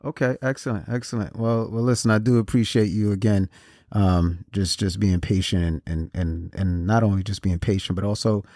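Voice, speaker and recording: Low-voiced male podcast host, dry sound. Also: warm tone, no echo. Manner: halting, with frequent restarts and repeated words. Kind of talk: casual interview.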